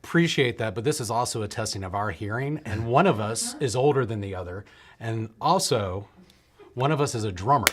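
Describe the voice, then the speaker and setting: A man's voice: lowish voice, expressive, a little fast. A man co-hosting in casual banter, teasing and poking fun at himself as he goes. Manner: joking, teasing, self-deprecating. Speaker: co-host. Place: live show set.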